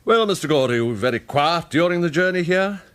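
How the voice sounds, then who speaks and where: An actor playing a coldly menacing villain in a radio thriller, with an English accent and a polite, formal, unhurried, condescending manner. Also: male voice, a little high, lively intonation, fairly bright tone, smooth, dry recording. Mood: cool interrogation. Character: courteous, coldly menacing male villain in command.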